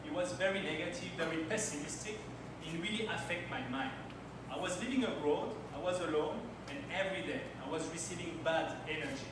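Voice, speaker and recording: A man in his thirties from France gives a timed talk in English, his second language, telling his own story with slightly non-native phrasing. His voice is a bit high for a man. He is picked up from a distance in a very echoey event hall with clear noise in the background. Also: expressive, a little fast. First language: French. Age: 30s. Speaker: conference speaker. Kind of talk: timed slide presentation. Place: event hall at a launch party.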